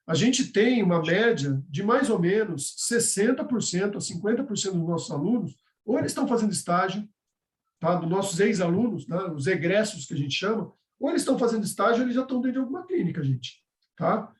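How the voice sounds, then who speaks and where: A man's voice: high voice, expressive, a little fast, reverberant. A man teaching a live online class, explaining step by step and checking in with his listeners. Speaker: course instructor.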